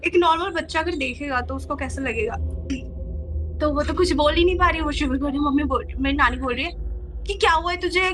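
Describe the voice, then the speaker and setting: Bright, high-voiced woman telling a story. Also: slight background noise, poor audio. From West Bengal, India. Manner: animated retelling with quoted dialogue and repetition. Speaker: guest recounting a family story. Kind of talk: casual personal anecdote. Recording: music behind the voice. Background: background music.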